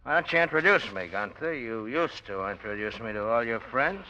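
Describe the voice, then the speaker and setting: An actor playing an insinuating, uninvited acquaintance in a 1940s radio drama, with a needling manner. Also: male voice, mid-range voice, lively intonation, moderate pace, sharp tone. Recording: dull vintage radio recording.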